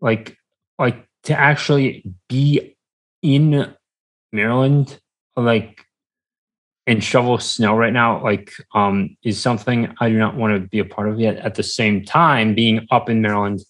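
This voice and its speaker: An American man chatting casually as an interview guest, rambling haltingly and often trailing off. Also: on the low side, steady tone, a bit bright, low-quality recording. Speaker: podcast guest. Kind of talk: casual chat.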